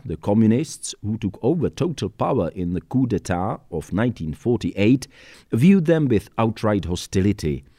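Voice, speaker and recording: A man with a low, mellow voice and lively intonation reads scripted, explanatory narration. The recording is dry and close. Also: no echo. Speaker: podcast host and narrator.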